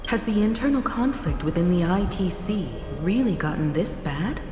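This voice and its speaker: An actress playing a composed, gracious leader of space travellers in a video game, speaking formally and earnestly. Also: female voice, medium pitch, animated, moderate pace, close to an everyday voice. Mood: concerned. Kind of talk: scripted video game dialogue. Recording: echoey hall reverb, music behind.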